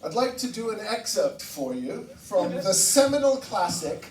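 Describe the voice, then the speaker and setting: Animated stand-up man from Barbados. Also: unhurried, echoey room, distant mic, a little hiss or hum. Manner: animated, swearing, voice jumps high for punchlines. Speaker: stand-up comedian. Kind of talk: stand-up comedy set. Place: comedy club stage with audience. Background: audience laughter.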